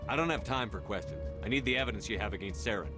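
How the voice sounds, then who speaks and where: An actor playing a tough, blunt military commander in a video game. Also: male voice, on the low side, racing, over music, lightly acted. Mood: firm, matter-of-fact. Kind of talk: video game hero acting.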